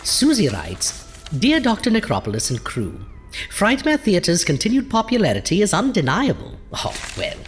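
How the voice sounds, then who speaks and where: A woman's voice: mid-range voice, huge pitch swings, normal speed, big-room sound, soft background music. A woman playing a vain, pompous ghoulish horror host in a campy audio show, with florid theatrical delivery. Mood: smug.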